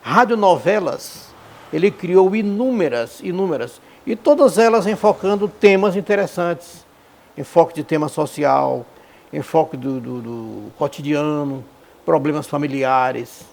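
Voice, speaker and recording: Male interviewee: gravelly, slightly high voice, emphatic unscripted recollection. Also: animated, unhurried, darkish tone. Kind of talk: spontaneous documentary testimony.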